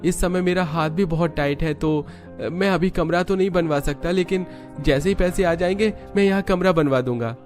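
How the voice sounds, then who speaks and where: A man acts out a hard-up young husband in a cartoon family story, pitched up from his narrating voice. He sounds earnest, worried and apologetic, with music underneath. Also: moderate pace, darkish tone, lightly acted. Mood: apologetic, sincere. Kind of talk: dramatized Hindi moral story cartoon.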